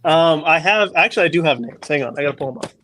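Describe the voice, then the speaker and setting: A man guesting on a casual podcast, speaking hesitantly with many pauses and restarts. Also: fairly high pitch, lively intonation, sharp tone, no room sound. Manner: hesitant, full of ums and restarts. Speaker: guest comic creator. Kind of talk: casual interview chat.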